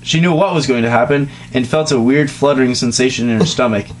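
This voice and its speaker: A man's voice: medium pitch, fast. A man giving a dramatic amateur reading of a story, breaking off into giggly, crude asides. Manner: self-interrupting, giggly, crude. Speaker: reader of a comedic fan fiction reading. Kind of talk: dramatic reading of fan fiction with casual joking asides. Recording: noisy home recording with hum.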